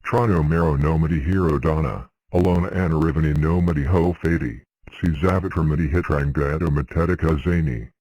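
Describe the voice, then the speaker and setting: A man's voice: a bass voice, a little fast, a little mellow, no room sound. A man reading a scripted emergency alert as an announcer, in a stilted, syllable-by-syllable way.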